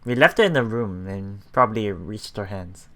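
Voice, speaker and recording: A man doing casual let's play commentary, haltingly reading and paraphrasing story text aloud while thinking out loud. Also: lowish voice, expressive. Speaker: let's player.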